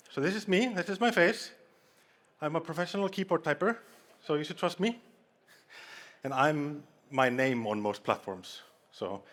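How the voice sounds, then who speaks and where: A man's voice: a little high, a bit bright. A man from Iceland with an Icelandic accent, giving a playful, jokey conference talk and bantering with a live audience. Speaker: conference speaker. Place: conference hall stage.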